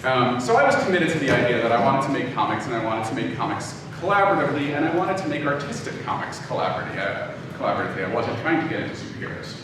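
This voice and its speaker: A man giving an informal lecture to an audience, speaking in a hesitant, halting, low-key way. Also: sing-song. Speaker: guest lecturer, audio drama producer. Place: echoey room, live talk before an audience. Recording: echoey room, distant, clearly noisy background.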